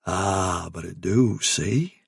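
A man's voice: deep voice, slow, vocal fry, husky, dry recording, studio quality, pitched below the performer's own voice, exaggerated voice. A man voicing a cruel, taunting country man in a broad rural English dialect, reading a storybook. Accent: rural English dialect put on. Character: menacing rustic man, cruel and mocking.

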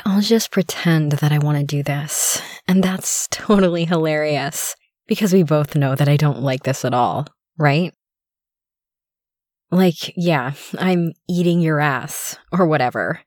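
Slow-talking, coy girlfriend played by a woman. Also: low-pitched, darkish tone, no echo, clean studio sound. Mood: coy, mock-ironic.

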